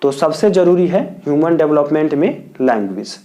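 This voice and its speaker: A man from India teaching as a tutor, dictating answers slowly and repeating each point in several wordings. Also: on the high side, animated, mellow. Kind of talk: exam answer lesson.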